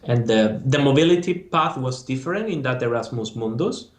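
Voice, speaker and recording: A man's voice: medium pitch, animated. Spanish-accented man in an echoey room. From Spain. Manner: halting, searching for words. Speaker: master's graduate. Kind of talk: casual interview.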